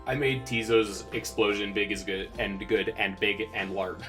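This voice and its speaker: A man playing a tabletop game, chatting casually in a halting, rambling way as he recaps events. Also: fairly low pitch, fairly even intonation, a bit bright, soft background music.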